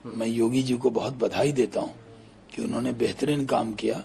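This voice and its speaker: Gravelly man giving political statement. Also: mid-range voice, fairly even intonation, moderate pace, warm tone.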